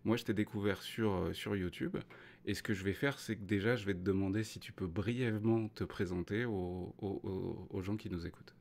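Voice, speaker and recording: A man's voice: lowish voice, steady tone, fairly quick, gravelly, darkish tone. A French man hosting a casual interview, asking questions with many hesitations and restarts. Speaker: host.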